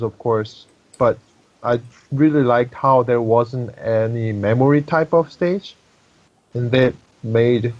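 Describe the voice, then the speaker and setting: Man, non-native English speaker, halting and searching for words, a bit muffled. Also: mid-range voice, darkish tone. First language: not English. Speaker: podcast guest, competitive shooter. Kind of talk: casual chat.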